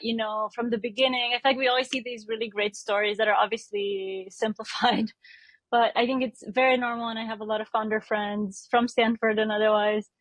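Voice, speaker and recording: A woman's voice: fairly high pitch, no echo, rough recording. A woman in her thirties from Romania, a startup founder answering interview questions thoughtfully, often restarting her sentences. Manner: thoughtful, many restarts and self-corrections, light laughs.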